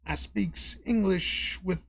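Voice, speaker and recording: A man doing an impression of a gruff, squinting old male cartoon sailor, growling and mumbling with broken grammar. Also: sits high, slow pace, sharp tone, soft background music, pitched above the performer's own voice, exaggerated voice.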